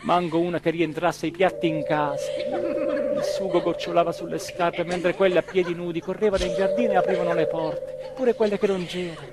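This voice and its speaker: An actor playing an excitable small-town mayor in a theatrical radio monologue, with an emphatic delivery that swings from hushed to shouted. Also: male voice, high voice, normal speed, heavy background noise. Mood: dreamy, agitated. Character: excitable small-town Italian mayor recounting his dream and a night emergency.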